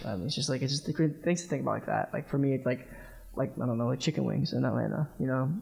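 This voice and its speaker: Young man with a gravelly, creaky voice. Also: mid-range voice, fast talker, low-quality recording. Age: young adult. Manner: meandering, filler-heavy, soft-spoken. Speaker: college radio co-host. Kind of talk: casual chat.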